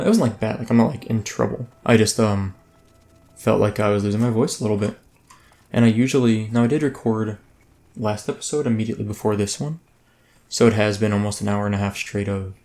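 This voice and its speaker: A man's voice: fairly low pitch, a little mellow. A man hosting a let's play, giving casual, rambling gameplay commentary that keeps breaking off mid-thought. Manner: rambling, self-interrupting, chatty.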